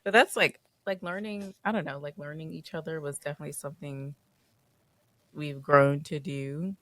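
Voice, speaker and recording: A woman's voice: low-pitched, steady tone, fairly bright tone. A woman in her twenties co-hosting a podcast, a teacher bantering and teasing in casual chat with her husband. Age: 20s. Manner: playful, teasing banter with quick laughs. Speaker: podcast co-host; public school teacher.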